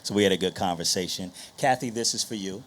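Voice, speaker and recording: Male moderator, slightly low register, conversational interviewer for a live discussion. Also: quick pace, a little hiss or hum. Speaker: panel moderator.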